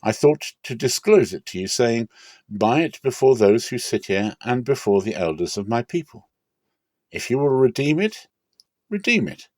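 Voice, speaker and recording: A man's voice: medium pitch, very animated, normal speed, a little mellow, no room sound, voice pushed up, lightly acted. Casting: male reader as earnest biblical landowner, formal public declamation, emphatic and commanding, dry sound. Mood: formal, pressing.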